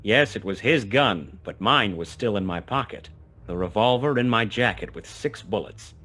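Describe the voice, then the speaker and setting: An actor playing a wry, laconic private detective who narrates a noir radio play. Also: male voice, on the low side, expressive, normal speed, a bit bright. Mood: wry, tense narration. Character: hard-boiled male private detective narrating his own story.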